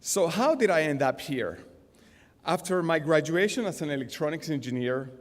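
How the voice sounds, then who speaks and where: A middle-aged man with a Venezuelan Spanish accent gives a formal commencement speech in a measured, deliberate way at a podium. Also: a little high, lively intonation. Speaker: graduation speaker, business executive. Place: large indoor auditorium stage. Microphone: podium gooseneck microphones. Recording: long hall reverb from auditorium PA.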